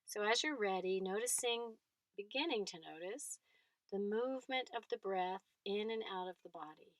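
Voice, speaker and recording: A woman leading a guided body scan meditation, speaking in gentle short phrases with long pauses between them. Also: medium pitch, lively intonation, no room sound.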